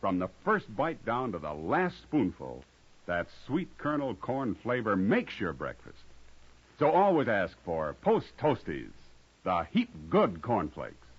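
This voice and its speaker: A man's voice: on the low side, huge pitch swings, a little slow, slightly rough. A man delivering a folksy, emphatic 1950s radio commercial as announcer. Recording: muffled old radio recording.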